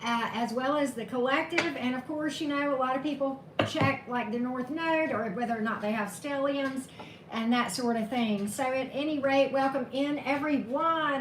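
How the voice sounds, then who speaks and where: A woman tarot reader, slightly hoarse, chatting casually with live-stream viewers and greeting them by name. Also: sits high, normal speed. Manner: chatty, greets viewers by name, laughs at own corny jokes. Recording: echoey room with steady background noise.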